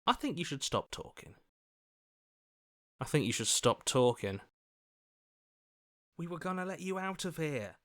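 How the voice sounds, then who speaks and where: A man's voice: a little high, big pitch swings, measured pace, pauses often. A man playing an anxious, earnest hero in a video game, questioning and pleading like a voice of conscience. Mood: indignant, pleading. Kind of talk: video game character voice acting.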